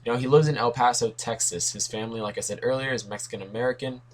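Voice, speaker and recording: A man's voice: mid-range voice, fairly even intonation, quick pace. Young man with a worn, fading voice. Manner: rambling, self-correcting, lots of asides. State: losing his voice. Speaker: comics video host. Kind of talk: casual rambling commentary.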